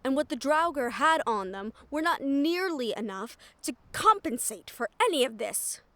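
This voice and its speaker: A woman playing a wry, foul-mouthed shadow thief in a fantasy audio roleplay, nervous but frank, with dramatic pauses and sighs. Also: high-pitched, bright, forceful tone, no room sound, full character voice. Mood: nervous, annoyed.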